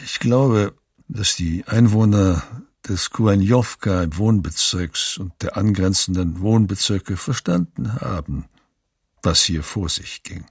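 An actor playing a sombre, weary male witness giving measured testimony in a radio documentary drama, with a very husky voice. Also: sits low, no room sound, clean studio sound, full character voice.

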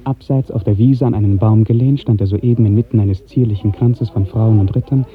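A man narrating a literary radio drama in a measured, lyrical 1950s storytelling style. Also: fairly low pitch, fairly even intonation, soft background music. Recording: muffled old 1950s radio recording.

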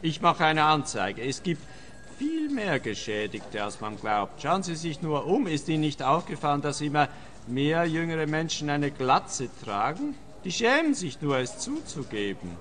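Whiny paranoid male complainant, swooping indignant radio play delivery, halting, long reverb. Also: a little high, very animated, fairly bright tone. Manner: indignant, halting, repeating words. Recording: long reverb of a large hall.

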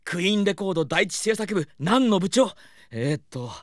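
A man's voice: high-pitched, dry recording, clean studio sound. A man playing a hapless, over-eager aspiring singer in a comedic audio drama, earnest and easily flustered. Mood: proud, eager. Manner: excitable, earnest, quick to fluster.

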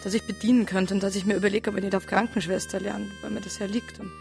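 An actress with a Bavarian accent playing a troubled wife confessing a hard youth in a naturalistic radio drama, plain-spoken and colloquial. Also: female voice, mid-range voice, little pitch movement, quick pace, vocal fry, over music, close to an everyday voice. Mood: bitter recollection.

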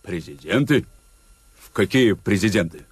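Грозный офицер, интонации резко скачут. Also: male voice, deep voice, animated, moderate pace, breathy edge, no echo. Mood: curt, suspicious. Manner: curt, threatening, interrogating. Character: menacing, suspicious secret police officer following orders. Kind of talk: Soviet radio drama acting.

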